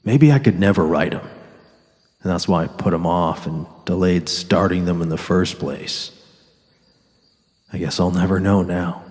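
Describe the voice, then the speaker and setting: A man's voice: deep voice, unhurried, long pauses, a little mellow, long reverb tail, pitched below the performer's own voice. A man playing a weary, sardonic dying writer on safari in a dramatic literary reading. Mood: weary, reflective. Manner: sardonic, resigned, quarrelsome.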